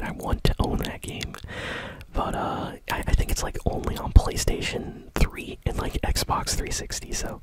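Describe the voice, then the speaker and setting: A person whispering gaming news in a breathy, casual, rambling ASMR style as the show's host. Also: rough recording. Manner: soft-spoken, casual, unhurried chatter.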